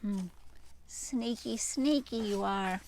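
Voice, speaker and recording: A woman doing an animated read-aloud for children like a teacher, playful and expressive, with dramatic hushes and emphasis. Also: medium pitch, slow, slight background noise.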